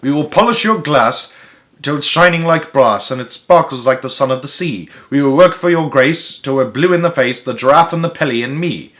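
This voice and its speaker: A man's voice: mid-range voice, normal speed, a bit bright, pitched above the performer's own voice, lightly acted. A man reading a storybook, voicing a cheerful monkey who delivers rhyming lines in a singsong way. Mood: cheery. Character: cheerful dancing monkey window cleaner, singsong. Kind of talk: storybook read-aloud character voice.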